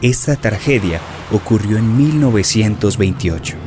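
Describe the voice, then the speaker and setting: A man's voice: fairly low pitch, animated, normal speed, very noisy. A man delivering grave, dramatic scripted narration for a radio drama. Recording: clear music bed behind.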